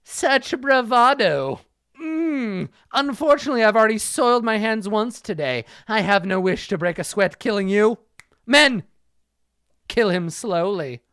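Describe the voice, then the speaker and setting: A man voicing a smug, ruthless male ninja assassin who gloats and mocks in a drawn-out way. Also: high voice, lively intonation, a bit bright, clear, resonant tone, dry recording, clean studio sound, voice pushed up, full character voice. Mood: gloating.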